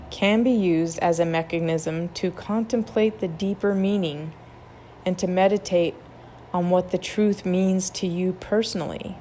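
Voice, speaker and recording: A woman narrating a guided meditation in a gentle, measured, instructional manner. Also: lowish voice, slight background noise.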